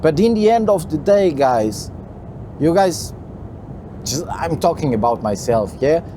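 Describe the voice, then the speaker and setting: A man from Portugal with a Portuguese accent, a truck driver talking casually to his viewers, halting and repeating himself, with "you know" tucked into most lines. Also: a little high, big pitch swings, noisy background. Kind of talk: casual talk to camera while driving. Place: truck cab on the road.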